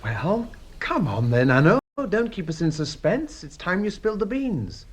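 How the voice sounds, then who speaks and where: Sceptical gentleman sidekick, highish voice, really animated pitch, dry, radio drama. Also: moderate pace, slightly rough, exaggerated voice. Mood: impatient. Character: inquisitive English gentleman, the detective's sceptical friend.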